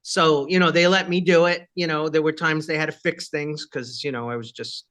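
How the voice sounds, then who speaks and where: A rock singer with a high voice, chatting casually in an interview and rambling with many fillers and asides. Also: male voice, steady tone, normal speed, a bit bright.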